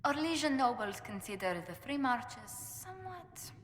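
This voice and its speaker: A woman's voice: high voice, sing-song, measured pace, fairly bright tone, slight background noise, full character voice. An actress playing a courteous, poised noblewoman ambassador in a video game, speaking formally with dry humour. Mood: courteous, businesslike. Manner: formal, refined, diplomatic phrasing. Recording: echoey hall with long reverb.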